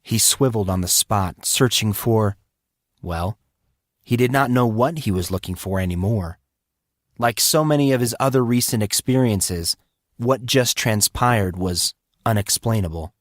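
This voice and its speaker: A man's voice: lowish voice, unhurried, no room sound, studio quality. A man reading scripted fantasy fiction as a dramatic audiobook narrator.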